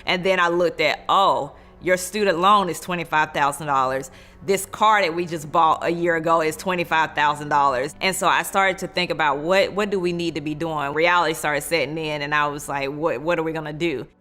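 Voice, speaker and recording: A woman's voice: sits low, brisk, fairly bright tone. A woman in her forties telling her story earnestly in a documentary interview. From United States.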